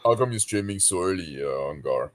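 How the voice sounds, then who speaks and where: A man's voice: deep voice, lively intonation, fairly quick. Sleepy nasal man, Quebec French accent. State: sleepy, just woke up. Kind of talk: casual chat. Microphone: laptop mic.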